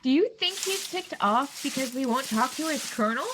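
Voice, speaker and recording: Woman acting spirited bossy wife, high swooping pitch, teasing, brisk, audio drama, light sizzling noise behind. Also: animated. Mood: wry, teasing.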